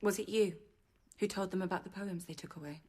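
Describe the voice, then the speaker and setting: An actress playing a rebellious, wounded sixteen-year-old novice nun in a radio drama. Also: female voice, mid-range voice, steady tone, brisk, a little mellow, natural voice. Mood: guarded.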